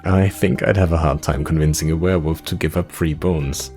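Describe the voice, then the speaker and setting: A man playing a patient, dry-humoured tavern keeper in a visual novel, speaking in a measured, deadpan way. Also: extremely low pitch, voice pushed down. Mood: deadpan, probing. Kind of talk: visual novel character read-aloud. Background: music.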